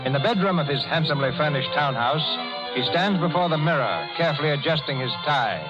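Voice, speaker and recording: A man's voice: fairly high pitch, lively intonation, fast talker. A man hosting and narrating a vintage radio drama in a formal, scripted style. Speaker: host and narrator. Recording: muffled vintage radio, loud music behind.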